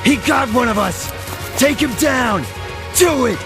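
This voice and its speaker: An aggressive, boastful war captain , played by a man, barks his orders. His voice is high and gravelly, and loud music runs behind him in a fantasy audio drama. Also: lively intonation, a little slow, full character voice. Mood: commanding.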